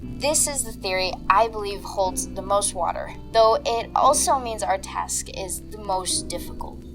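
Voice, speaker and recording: Actress as calm analytical lady investigator, precise wording, audio drama, music faintly underneath. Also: mid-range voice, a little slow, close to an everyday voice, low-quality recording. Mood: measured, analytical. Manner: methodical, precise, articulate. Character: analytical, unflappable woman investigator of the paranormal. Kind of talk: audio drama dialogue, measured theorizing.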